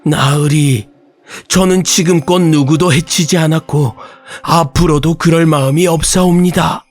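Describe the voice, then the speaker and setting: A man voicing a gentle, humble young bride who is secretly a demon, speaking in pleading, old-fashioned deferential Korean storytelling style. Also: a little high, steady tone, unhurried, voice pushed up.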